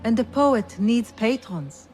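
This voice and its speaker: Slow-spoken, wry confidante. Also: female voice, on the high side, animated, dark tone, soft background music, low-quality recording. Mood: wry caution. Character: wry, cautioning female confidante of a poet. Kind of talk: dramatic video game acting.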